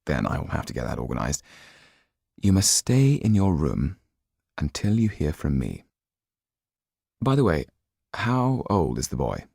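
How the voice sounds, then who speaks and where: A man voicing a courteous, thoughtful gentleman in an audiobook, husky and deep, speaking as a concerned friend in a genteel, confiding manner. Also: huge pitch swings, unhurried, pauses often, no room sound, studio quality, heavily acted. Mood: kindly, practical. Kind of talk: audiobook character acting.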